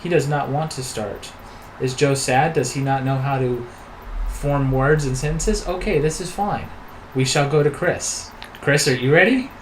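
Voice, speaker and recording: A man's voice: mid-range voice, a little slow, a little hiss or hum, poor audio. A man in his thirties hosting a casual chat, talking in a loose, rambling way with teasing asides. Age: 30s. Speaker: host.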